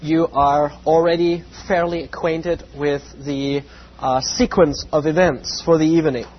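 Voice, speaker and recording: A man with a slightly raspy voice giving an evening Bible lesson, measured and full of "uh" fillers, with a reverent tone when he prays. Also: a little high, steady tone. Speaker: Bible teacher. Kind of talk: evening Bible lecture with prayer. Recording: dull lo-fi with faint hum.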